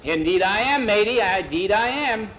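A man's voice: sits high, expressive, moderate pace, fairly bright tone, voice pushed up. A man voicing a hearty, commanding old sea captain in a story, full of salty sailor talk and exclamations. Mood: jovial, brisk.

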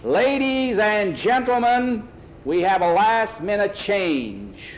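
A man voicing a formal racetrack announcer in a told story, projecting slowly in drawn-out phrases. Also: high voice, sing-song, voice pushed up, full character voice. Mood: formal, booming.

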